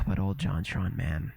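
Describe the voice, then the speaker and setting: A young man from Canada with a very deep, gravelly voice talks off the cuff on a livestream. His pitch rises and falls a lot as he swears, restarts and trails off. The sound is close and dry. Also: darkish tone, no echo. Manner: loose and profane, trailing off, repeating himself. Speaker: livestreamer reacting to a video. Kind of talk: casual reaction chat.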